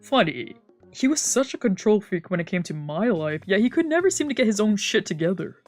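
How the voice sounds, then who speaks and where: A woman streamer reading a visual novel aloud with steady narration. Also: medium pitch, a little fast, faint music behind.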